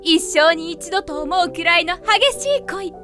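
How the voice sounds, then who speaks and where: A woman's voice: very high-pitched, steady tone, moderate pace, over music. An actress playing a brash, bitter foreign woman interpreter in a comedic voice drama, blunt and theatrical. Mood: melodramatic longing.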